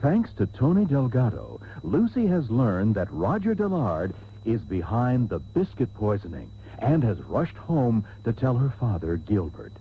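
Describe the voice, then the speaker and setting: A man's voice: medium pitch, unhurried, a little hiss or hum. A man playing a pompous, melodramatic host announcing a mock radio soap opera with grandiose irony. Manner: grandiose, deadpan mock drama. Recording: tinny old radio tape.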